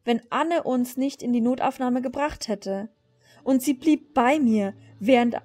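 A woman's voice: high voice, a little mellow. A woman voicing a bitter, guilt-ridden young woman rider from a fantasy horse game in a dramatic, brooding confession. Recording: music behind the voice.

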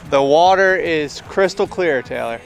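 A man's voice: a little high, a little slow, gravelly, over music. A young man casually chatting to camera as a travel vlog host, laid-back and enthusiastic with informal slang.

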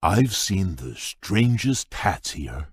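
An actor playing a large, shy, polite beast prince in a video game, speaking in a courteous, formal, earnest way with a husky voice. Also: male voice, big pitch swings, slow pace, no room sound, studio quality, exaggerated voice. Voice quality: deep husky voice. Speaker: game character. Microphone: dry close studio mic.

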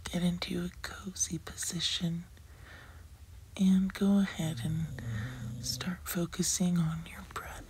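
Female ASMR meditation guide needed. Hushed, breathy whisper, slow pacing, gentle and reassuring delivery. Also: fairly low pitch, poor audio. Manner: soothing, gentle, unhurried coaxing.

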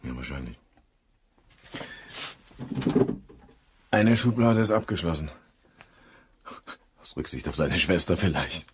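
Blunt, very low, husky inspector. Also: male voice, lively intonation, moderate pace, exaggerated voice. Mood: musing. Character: blunt, inquisitive male police inspector. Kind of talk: German crime radio play acting.

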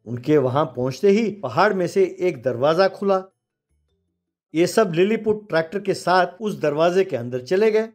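A man's voice: high-pitched, animated, moderate pace, darkish tone, soft background music. A man narrating a children's moral story in scripted storytelling style.

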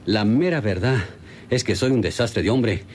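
A man's voice: lowish voice, expressive, moderate pace, darkish tone. An actor playing a frightened, superstitious ranch man with a rural Mexican accent, stammering and repeating himself in a radio drama. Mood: rattled, laughing. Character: frightened, confused, superstitious ranch man, self-styled macho. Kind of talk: radionovela acting.

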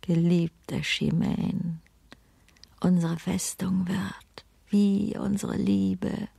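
An actress playing a grave, intense storyteller in a radio play, hushed and dramatic, with a husky, creaky voice. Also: female voice, lowish voice, fairly even intonation, drawn-out words, no room sound, full character voice.

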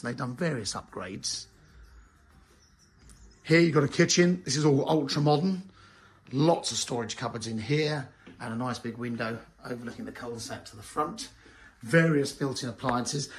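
Hoarse estate agent giving a tour. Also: male voice, mid-range voice. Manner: chatty, informal, many fillers and restarts. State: hoarse, lost his voice. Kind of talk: walking property tour. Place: outdoors in a cul-de-sac, then inside a bungalow and garden.

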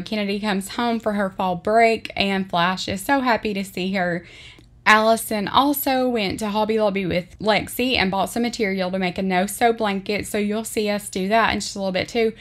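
A woman's voice: medium pitch, steady tone, moderate pace. Middle-aged Southern woman, earnest devotional voice-over for a home vlog. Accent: Southern American. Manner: earnest, heartfelt, plain-spoken. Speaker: vlogger. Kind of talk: voice-over narration and devotional reading.

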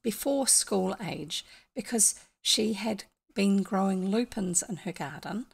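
Gravelly older New Zealand woman chatting. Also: mid-range voice, slow pace. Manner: rambling, explanatory, with frequent asides and restarts. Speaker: streamer. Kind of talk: casual storytelling chat. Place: small home room, indoors.

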